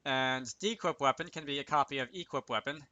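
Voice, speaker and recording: A man's voice: medium pitch, a little fast, pushed and bright, dull audio, a little hiss or hum. A man giving a casual coding tutorial, talking to himself as he works, with wry little asides. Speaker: video creator demonstrating game programming.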